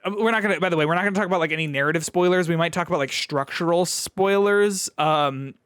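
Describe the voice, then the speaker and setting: A man's voice: sits high, fast talker, a bit bright, clear, resonant tone, dry recording, studio quality. A man co-hosting a podcast, chatting casually about video games in an informal, hedging way peppered with "like". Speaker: podcast co-host.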